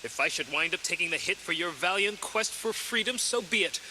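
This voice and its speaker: A man's voice: high-pitched, expressive, brisk, sharp tone, a little hiss or hum, heavily acted, low-quality recording. A man playing a bombastic, self-pitying patriotic colonel in a melodramatic parody of old radio adventure serials. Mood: heroic farewell. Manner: grandiose, melodramatic speechifying.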